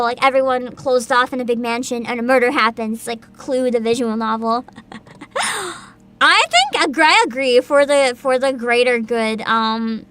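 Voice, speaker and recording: High, lively, giggly streamer woman. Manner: giggly, chatty, reacts to chat, reads descriptions aloud. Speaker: VTuber streamer. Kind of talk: casual livestream chat.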